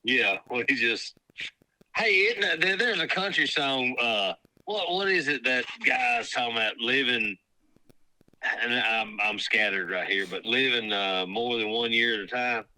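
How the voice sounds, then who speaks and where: A man's voice: mid-range voice, a little slow, bright, forceful tone, rough recording. A man, co-hosting a podcast and joking in a playfully dramatic way. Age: 20s. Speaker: podcast co-host. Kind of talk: casual chat.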